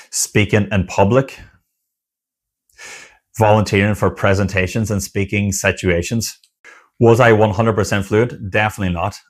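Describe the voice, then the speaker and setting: Earnest low-voiced man encouraging viewers. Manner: earnest, encouraging, speaks in repeated list phrases with pauses. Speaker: online community founder and stuttering coach. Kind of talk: motivational talk to camera.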